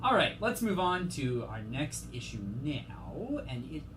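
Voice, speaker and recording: A young man co-hosting a podcast in casual chat, talking quickly with dry, deadpan sarcasm. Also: fairly high pitch, background noise. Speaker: podcast co-host.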